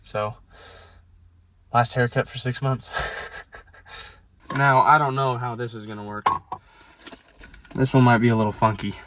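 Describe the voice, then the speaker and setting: A young man with a cold-raspy voice chatting excitedly to camera while car camping by a lake, casual and full of "dude" and "like". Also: medium pitch, measured pace, pauses often, a little hiss or hum. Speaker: vlogger. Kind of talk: casual vlog chat to camera.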